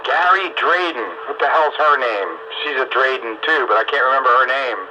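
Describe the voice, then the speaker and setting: A man's voice: medium pitch, normal speed. A man chatting casually in a folksy, opinionated way, with salty colloquial phrasing and emphatic rhetorical questions. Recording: muffled lo-fi radio audio, clear background noise.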